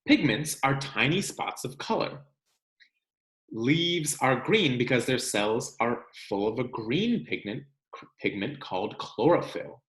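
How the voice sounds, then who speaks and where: A man with a mid-pitched voice reads a children's science book aloud like a teacher. His intonation moves up and down in a lively way as he explains things directly to young listeners. The sound is roomy, with noticeable echo. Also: takes it slowly. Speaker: teacher reading aloud to students.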